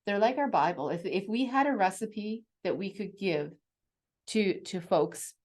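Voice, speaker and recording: A middle-aged woman explaining things conversationally as a co-host, in a measured, practical way. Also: mid-range voice, lively intonation, a little mellow. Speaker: parent sharing parenting strategies as co-host.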